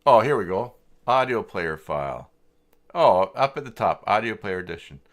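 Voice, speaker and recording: A man's voice: on the low side, big pitch swings, moderate pace. A man hosting a casual screen-share tutorial, thinking aloud and muttering hesitantly to himself as he searches. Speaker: live stream host demonstrating website software.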